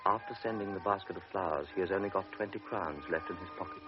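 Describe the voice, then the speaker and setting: Deep-voiced narrator for a classic radio play, lo-fi with faint music. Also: male voice. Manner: measured, quiet narration. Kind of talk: scripted radio drama narration. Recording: dull old radio recording with music.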